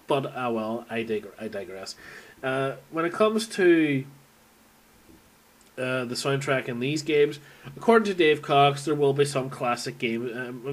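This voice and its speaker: Guy just chatting off the cuff, rambling fan audio log, medium pitched voice, thinks out loud. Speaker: video game fan recording an audio log. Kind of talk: casual unscripted opinion monologue.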